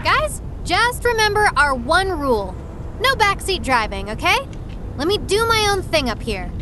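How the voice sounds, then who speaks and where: A cocky young monster-hunter heroine from an anime-style audio drama. Her voice is high and swings freely in pitch, full of bossy, breezy swagger. Clear background noise sits behind her. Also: sing-song, normal speed. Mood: cocky, firm. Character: cocky young female monster-hunting streamer, brash and bossy.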